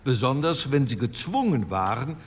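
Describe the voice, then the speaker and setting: Man voicing earnest postwar delegate, lively pitch, formal oratory, long hall reverb, faint background noise. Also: normal speed, close to an everyday voice. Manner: formal, argumentative parliamentary oratory. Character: male postwar CDU delegate, earnest and persuasive. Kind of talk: radio drama speech reenactment.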